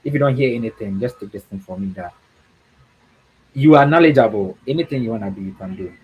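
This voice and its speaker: A man's voice: on the low side, expressive, fast, husky, lo-fi sound. A man from Ghana with a Ghanaian accent, speaking as a guest on a livestream talk, earnest and encouraging, often repeating words for emphasis.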